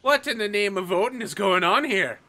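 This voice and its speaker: A man voicing a brash Icelandic woman with an Irish accent, loud and blunt in a tabletop game. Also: mid-range voice, animated, moderate pace, pitched above the performer's own voice. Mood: alarmed. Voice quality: loud exclamatory, cursing mildly. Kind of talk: tabletop roleplay character voice.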